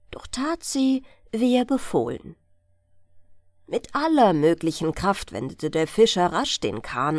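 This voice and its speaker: A woman reads a bedtime fairy tale as an audiobook narrator. Her delivery is measured and unhurried, with very lively intonation. The sound is dry and close. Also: fairly low pitch, no room sound.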